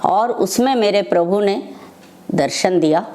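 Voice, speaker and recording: An older woman, telling personal devotional stories as a devotee, in short phrases with pauses between them. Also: mid-range voice, mellow. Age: older, over 60. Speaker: devotee sharing personal testimony.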